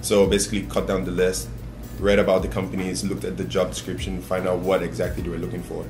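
A man's voice: low-pitched, completely flat delivery, fast, music in the background. A young man, giving an interview as a graduate student. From Nigeria. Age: young adult. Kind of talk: interview testimonial. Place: small indoor meeting room.

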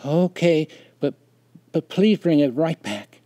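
A man's voice: fairly high pitch, expressive, slow, warm tone, no room sound, voice pushed up. A man voicing an anxious, earnest small boy who whines, stammers and pleads in a storybook tale. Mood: pleading.

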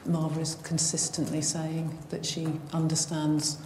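Woman, social worker, formal steady report, low voice, long hall reverb. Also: a little slow, slight background noise.

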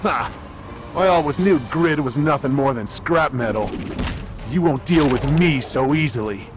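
A man's voice: a little high, unhurried, natural voice. An actor voicing a boastful, gloating male villain boss in a video game. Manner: gloating, theatrical. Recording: game audio over music.

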